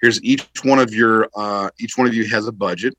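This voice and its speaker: A man's voice: fairly low pitch, fairly even intonation, brisk, fairly bright tone, rough recording. A man speaking as an interview guest and military veteran, explaining his views conversationally in an emphatic, repetitive way.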